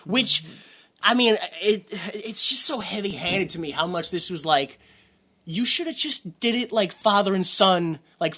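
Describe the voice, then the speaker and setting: A man co-hosting a movie podcast, talking loosely and fast to his friend. His tone is bright and forward, and he stumbles through restarts, drops swear words and does quick impressions of characters he quotes. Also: high-pitched. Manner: rambling, swears freely, laughs, acts out quotes. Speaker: podcast co-host. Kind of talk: casual movie review chat.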